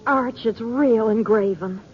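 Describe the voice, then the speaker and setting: An actress playing a brisk, practical, bossy woman of a theater troupe in an old-time radio drama, speaking emphatically. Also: a little high. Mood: anxious. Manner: urgent, emphatic, take-charge. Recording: dull old radio recording, faint noise.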